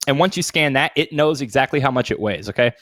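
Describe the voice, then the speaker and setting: A man's voice: mid-range voice, lively intonation, fast, fairly bright tone, no room sound, studio quality. A man in his thirties chatting casually as a podcast co-host, informal and swearing freely with lots of dude slang. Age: 30s. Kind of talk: casual chat.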